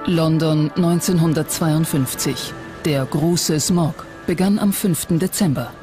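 Documentary narrator, medium pitch, scripted delivery, music bed underneath. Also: a little slow. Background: background music.

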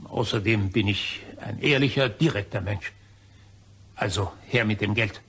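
Crime audio drama: actor as stern company man, slightly rough voice, formal, principled, unyielding. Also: fairly low pitch, fairly bright tone. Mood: stern, insistent. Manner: formal, deliberate, insistent. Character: stern, self-righteous office colleague confronting an embezzler. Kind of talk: crime radio play acting.

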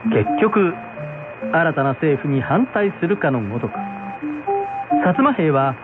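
Lively male folktale narrator. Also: a little high, slow pace. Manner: polite traditional storytelling delivery. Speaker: storyteller, narrator. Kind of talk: scripted folktale narration for radio. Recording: tinny radio broadcast, music behind.

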